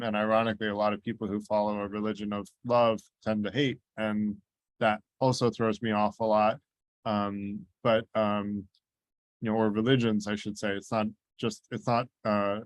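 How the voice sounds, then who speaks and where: A man moderating an online group discussion on a video call, speaking haltingly with many fillers and restarts. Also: fairly low pitch, no room sound.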